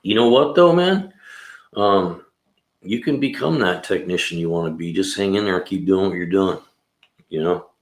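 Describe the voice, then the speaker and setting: Deep-voiced man in his sixties, laid-back. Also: normal speed. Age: 60s. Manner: laid-back, rambling, "man" and "you know" often. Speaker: livestream host and mechanic. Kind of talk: casual livestream chat. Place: garage. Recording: echoey room.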